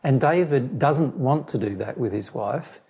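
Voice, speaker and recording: A man's voice: medium pitch, lively intonation, gravelly, mellow, slightly rough. A man losing his voice, explaining a personal question at length and restarting his phrases as he goes. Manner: measured, repeats and restarts phrases. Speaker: spiritual teacher answering a question. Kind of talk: explaining to a listener.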